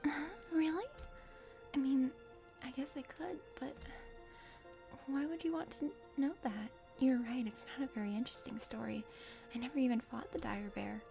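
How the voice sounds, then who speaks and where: A woman's voice: high voice, fairly even intonation, moderate pace, vocal fry, warm tone, voice pushed down. A woman voicing a plucky young female bodyguard and adventurer in a visual novel, casual, wry and teasing. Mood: hesitant, casual. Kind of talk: visual novel character voice-over. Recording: music under the voice.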